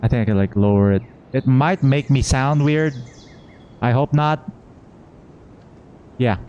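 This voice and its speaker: A man doing a casual live gameplay reaction as a streamer, informally thinking out loud. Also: medium pitch, unhurried, lo-fi sound, a little hiss or hum. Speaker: game streamer. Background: faint game music.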